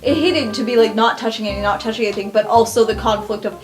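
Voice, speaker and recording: A woman's voice: on the high side, fast, music in the background. A woman player in casual, improvised tabletop roleplay, describing her character's actions and speaking for it.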